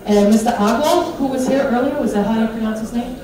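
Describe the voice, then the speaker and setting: A middle-aged American woman giving a lively, joking conference talk in a large hotel hall. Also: fairly high pitch, a little fast, a crowd in the background. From United States. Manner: big, animated, joking delivery. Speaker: conference speaker. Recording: echoey hall, PA from far off.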